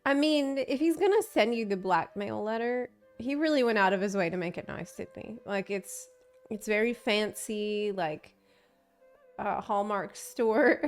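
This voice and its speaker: A woman game streamer giving casual, musing, playful live commentary. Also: mid-range voice.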